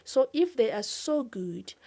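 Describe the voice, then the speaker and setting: A woman's voice: on the high side, expressive, a little slow, dark tone. A woman from outside South Africa, guest in a business interview, talking earnestly and urgently, often repeating and restarting her words. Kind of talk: interview, passionate appeal.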